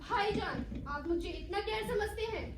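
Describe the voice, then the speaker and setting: An actress playing an anxious, lovestruck young daughter in a stage play, with earnest, formal theatrical phrasing. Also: female voice, very high-pitched, a little slow. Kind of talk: Hindi-Urdu stage play acting. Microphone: far from the actors. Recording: echoey hall, distant mic, faint noise.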